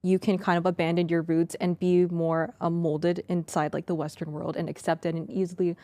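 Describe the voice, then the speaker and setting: A woman co-hosting a podcast, thinking out loud as she explains at length. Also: on the low side, level tone, normal speed, dry recording. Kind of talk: casual chat.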